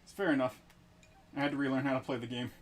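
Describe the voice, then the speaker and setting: A man streaming a video game and chatting casually, blunt and profane, with excitable outbursts. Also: mid-range voice, fast, a little hiss or hum.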